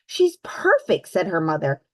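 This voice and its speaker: A woman's voice: lowish voice, wildly swooping pitch, measured pace, darkish tone, no echo, pitched below the performer's own voice, heavily acted. A woman voicing a doting, reassuring mother in a children's storybook, with a gushing manner. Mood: adoring.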